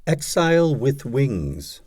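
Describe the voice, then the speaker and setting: A man reading poetry aloud as an audiobook, in a dramatic recitation with measured, deliberate phrasing and pauses. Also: medium pitch, very animated, a little mellow. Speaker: audiobook reader.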